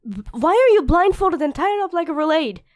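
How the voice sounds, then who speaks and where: A woman's voice: very high-pitched, sing-song, fairly quick. A woman playing a feisty demon queen in an ASMR roleplay, theatrical and commanding with minions and doting with her lover. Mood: shocked, flustered. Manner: theatrical, commanding, switching to tender. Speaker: voice actor in an audio roleplay. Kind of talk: dramatic ASMR roleplay acting.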